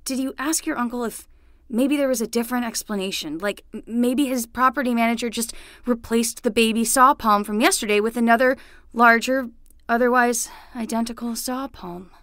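Woman as young nanny, podcast drama, sensible, a bit awkward, fairly high voice, dry sound. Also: normal speed, fairly bright tone, dry recording, lightly acted. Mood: apologetic, skeptical. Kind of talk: fiction podcast acting.

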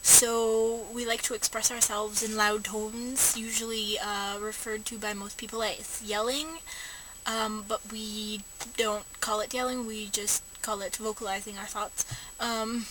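A young woman rambling and joking about herself in a casual vlog, recorded from a parked car on a camera held low at arm's length. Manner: chatty, self-mocking, many fillers. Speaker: vlogger. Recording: noisy home camera recording.

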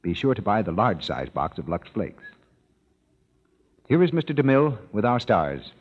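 A man's voice: on the low side, big pitch swings, moderate pace, husky. A man reading a cheerful sponsor message as a radio announcer, persuasive and instructive. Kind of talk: 1930s radio commercial reading. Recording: muffled 1930s radio broadcast in a hall.

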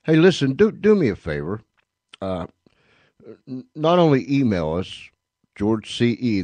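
Low-voiced man, very animated radio host. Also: dry recording. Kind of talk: casual, unscripted announcements to listeners.

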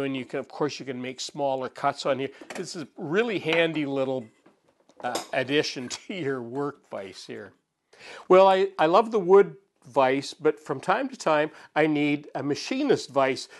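A man in his sixties hosting a woodworking channel, explaining his workbench tricks in a relaxed, chatty way with frequent chuckles, recorded in his home workshop. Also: fairly high pitch, slight background noise. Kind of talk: casual workshop demonstration. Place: home woodworking shop, indoors.

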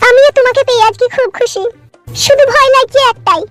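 A woman's voice: very high-pitched, fast, a bit bright, soft background music. A woman voicing a saucy, flirtatious middle-aged bride with a teasing manner in a comedy cartoon. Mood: sweet, coy. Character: coquettish, saucy middle-aged bride eloped with her lover. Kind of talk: amateur comedy cartoon dubbing.

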